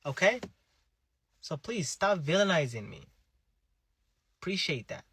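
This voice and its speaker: A man's voice: on the high side, very animated, a little slow, sharp tone, breathy edge, no echo. A man speaking earnestly to camera, appealing to his own community. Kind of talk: personal monologue to camera.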